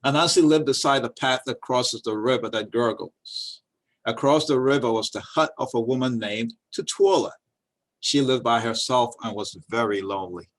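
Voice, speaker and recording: A man reading a story aloud in an expressive, folksy way, with chatty asides as a storyteller. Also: medium pitch, moderate pace, low-quality recording.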